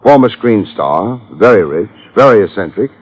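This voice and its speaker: A man's voice: on the low side, expressive, normal speed, a little hiss or hum, lightly acted. An actor playing a wry, hard-boiled male private investigator who narrates in slangy 1950s radio drama style. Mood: wry narration. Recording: dull old radio transcription with music.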